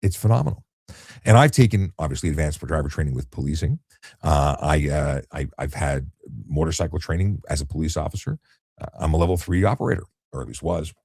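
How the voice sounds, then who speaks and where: A middle-aged man with a low voice, calmly explaining things in a relaxed late-night live chat as he reads out viewer questions. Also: gravelly, slightly rough, no room sound, studio quality. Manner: calm, explanatory, many restarts and fillers. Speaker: retired traffic police officer, live-stream host.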